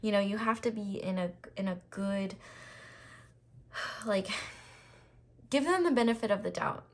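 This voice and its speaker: A woman with a slightly raspy voice doing a casual, reassuring tarot reading to camera, with frequent ums and restarts. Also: mid-range voice, measured pace. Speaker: tarot reader.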